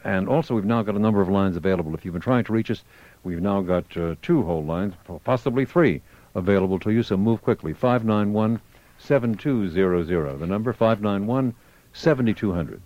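A male talk-radio host with a low voice runs the show briskly. He repeats the number and brings on callers. The broadcast sounds dull and dry. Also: darkish tone, no room sound. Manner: brisk announcer, gives the phone number, urges callers. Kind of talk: call-in talk radio hosting.

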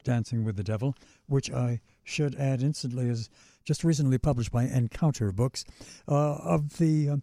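Man, host, very mellow dark voice, rambling questions, dry sound. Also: mid-range voice, dry recording. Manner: long winding questions with many fillers. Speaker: interviewer host. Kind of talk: interview questions.

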